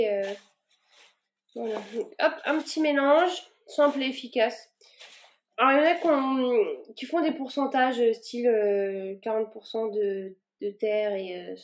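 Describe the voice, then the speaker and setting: A woman's voice: on the high side, a little mellow. A woman vlogging casually as she repots her plants, talking in a rambling way with plenty of fillers and restarts. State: a bit warm and tired from the work.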